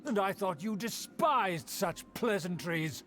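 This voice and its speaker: A pompous old noble uncle. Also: male voice, high voice, lively intonation, takes it slowly, a bit bright, exaggerated voice. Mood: indignant. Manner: pompous, lecturing. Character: pompous older noble uncle, haughty and indignant. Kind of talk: video game voice acting.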